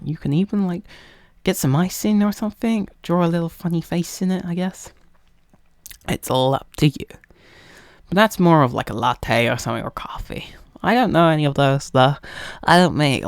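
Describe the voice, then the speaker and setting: A young British man doing a playful, coaxing ASMR roleplay in casual instructional chat, often slipping into a breathy whisper. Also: a little high, a little mellow, no echo, clean studio sound.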